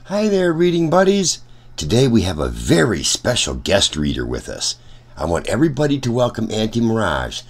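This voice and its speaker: A man hosting a children's story channel, giving an upbeat introduction in a husky voice. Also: lowish voice, wildly swooping pitch, normal speed.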